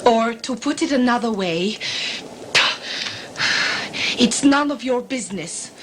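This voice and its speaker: A woman's voice: a little high, animated, slow. Woman playing a guarded expedition traveller, noisy backdrop. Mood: guarded, curt. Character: determined, guarded female client on a jungle expedition. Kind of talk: sci-fi audio drama acting. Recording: noisy amateur recording with background ambience.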